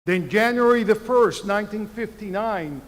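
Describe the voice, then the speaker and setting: A Cuban-born man in his seventies giving a commencement speech at a podium microphone in a large university arena, speaking in deliberate phrases with emphatic pauses. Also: high-pitched. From Cuba. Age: 70s. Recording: reverberant arena sound.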